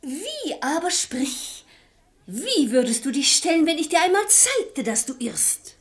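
A woman's voice: sits high, sing-song, measured pace. A woman playing a shrewd, composed lady of the house in a verse comedy radio play, articulate and persuasive. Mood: exasperated.

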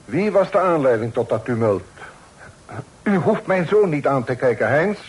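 A man's voice: medium pitch, expressive, moderate pace, slightly rough. A man playing a stern, suspicious father and magistrate in a Dutch radio drama, speaking in a formal, reproachful way. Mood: commanding, interrogating. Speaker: radio play actor. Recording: faintly noisy old radio play recording.

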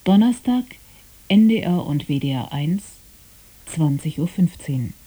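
A woman's voice: low-pitched, expressive, unhurried, mellow, breathy edge, rough recording. A woman reading scripted programme announcements on radio in a composed, formal manner.

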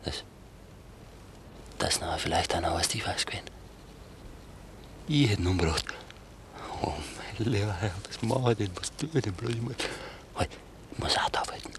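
A man's voice: on the low side, normal speed, vocal fry, long pauses. An actor playing a rattled, simple village man in a 1950s Bavarian dialect radio play, given to muttering to himself and calling out. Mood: shocked, stammering. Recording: 1950s radio production, outdoor noise behind.

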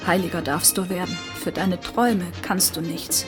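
Jealous pious woman played by an actress: slightly low, half-whispered, knowing accusation, radio drama over music. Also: normal speed, lightly acted. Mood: accusing. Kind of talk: radio play acting.

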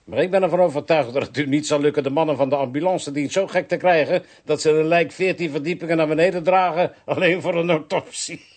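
Actor as a higher-voiced, grumpy doctor. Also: male voice, fast talker, hardly any pauses, a little mellow, lightly acted. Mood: irritated, sarcastic. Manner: peevish, sarcastic, precise. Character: grumpy, sardonic male doctor, put-upon pathologist. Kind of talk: Dutch radio play acting.

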